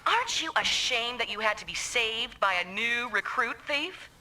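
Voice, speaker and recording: Sharp, tough female mech pilot. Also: a little high, expressive. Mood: scornful. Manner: brisk, bossy, no-nonsense. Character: tough, scolding young woman mech pilot. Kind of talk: video game voice acting.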